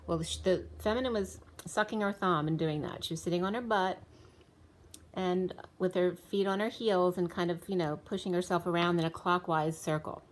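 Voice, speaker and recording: A woman with a slightly low voice talks through a tarot spread in a loose, rambling way, full of restarts. Her voice is wearing thin and a little hoarse. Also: a little mellow. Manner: rambling, many restarts and fillers, reads off card names and times. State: losing her voice. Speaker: tarot reader. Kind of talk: casual spoken tarot reading. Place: indoors, room with a table of spread cards.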